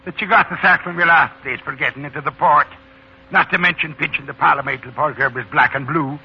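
An actor playing a wily, servile leprechaun posing as a manservant, with an Irish accent, in 1940s radio drama style. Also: male voice, a little high, fairly even intonation, brisk, gravelly, slightly rough, exaggerated voice. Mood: sharp, threatening. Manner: deferential, sly, theatrical. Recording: muffled, hissy 1940s radio broadcast.

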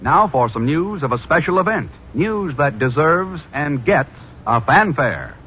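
A man's voice: on the high side, animated, measured pace, noisy background. A man announcing and narrating a 1950s radio drama in a scripted, dramatic style. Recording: muffled radio recording, loud music.

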